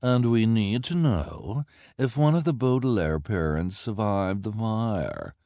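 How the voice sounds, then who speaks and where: A man's voice: fairly low pitch, very animated, measured pace, dark tone, dry recording, studio quality, pitched above the performer's own voice. A man voicing a haughty, greedy villainous woman who talks in a spoiled, demanding way.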